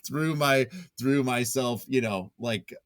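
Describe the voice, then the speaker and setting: A man's voice: mid-range voice, slow pace, a bit bright, no echo, studio quality. A man speaking as a writer being interviewed, casual and conversational, with a goofy, self-deprecating manner. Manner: self-deprecating, goofy, chuckles often while talking. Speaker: interview guest, writer and journalist.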